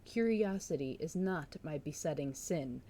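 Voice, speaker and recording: A woman's voice: deep voice, expressive, measured pace, warm tone, full character voice. A woman reading the part of a reserved, coldly sceptical male village doctor in a storybook, dry and exasperated. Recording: noticeable background noise.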